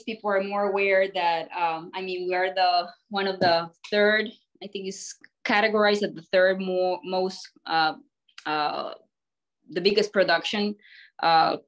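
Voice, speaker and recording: A woman from Colombia, speaking, gives a relaxed presentation on a video call with many small fillers. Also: medium pitch, poor audio. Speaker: guest speaker, coffee roaster.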